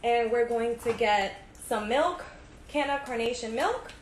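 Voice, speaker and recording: A woman's voice: on the high side, animated, a little slow. A woman in her thirties hosting a casual home cooking video, talking through each step in a chatty way and often checking in with "right?". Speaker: home cook host. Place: home kitchen, indoors. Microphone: phone camera at arm's length. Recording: echoey kitchen with noise.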